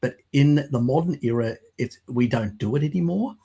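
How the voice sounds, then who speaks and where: A man, explaining things in a rambling, halting way on a video call, with a slight lingering croak from a cold. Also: medium pitch, animated, dark tone. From Australia. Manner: rambling, halting with fillers and restarts. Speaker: guest, works in mapping. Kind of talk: casual live-stream chat.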